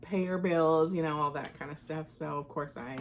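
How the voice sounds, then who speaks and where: A woman's voice: deep voice, fairly even intonation, moderate pace, slight background noise. A woman giving an informal, conversational book review, thinking aloud as she goes. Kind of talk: casual book chat vlog.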